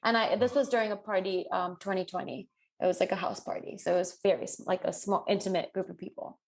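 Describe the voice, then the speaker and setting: Female podcast co-host, mid-pitched, animated storyteller who voices the people she quotes. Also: fast talker. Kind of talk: casual podcast chat.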